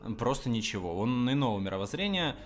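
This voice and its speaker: A man's voice: medium pitch, very animated, fast talker. A man giving an informal review commentary on animation. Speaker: video reviewer.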